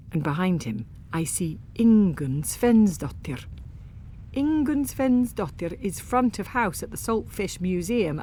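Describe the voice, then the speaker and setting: A woman narrating a story in the first person, with an expressive, wry and theatrical delivery. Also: on the low side, a little hiss or hum. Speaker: audiobook narrator.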